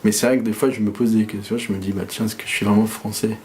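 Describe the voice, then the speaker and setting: A man's voice: on the low side, level tone, fast talker, darkish tone, slight background noise. Young man musing hesitantly. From France. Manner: hesitant, many fillers and restarts. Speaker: interviewee. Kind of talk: casual reflective talk.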